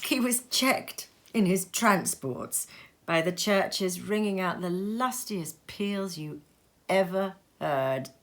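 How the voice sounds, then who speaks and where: A woman reading a classic story aloud at home in her sitting room, with unhurried, expressive storytelling. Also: mid-range voice. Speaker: reader. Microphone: home recording.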